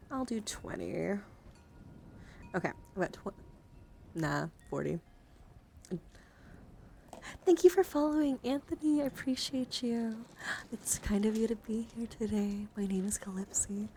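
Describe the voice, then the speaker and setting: A woman livestream host chatting casually, often dropping into soft murmurs and whispers. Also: mid-range voice. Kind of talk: casual live-stream chat. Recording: steady background noise.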